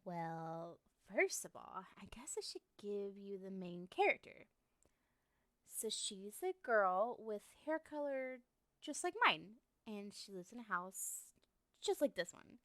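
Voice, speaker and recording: A woman's voice: medium pitch, very animated, slow pace, a bit bright, no echo, studio quality. A woman playing a lovestruck, obsessive young woman in an ASMR roleplay, talking to the listener in a coy, rambling, hesitant way. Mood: nervous, eager, then pointed, defensive.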